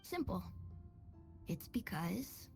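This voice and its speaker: A woman playing a cold, knowing female informant in a video game, speaking deliberately and dramatically. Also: medium pitch, big pitch swings, vocal fry, soft background music, full character voice. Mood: coolly taunting. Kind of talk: video game character acting.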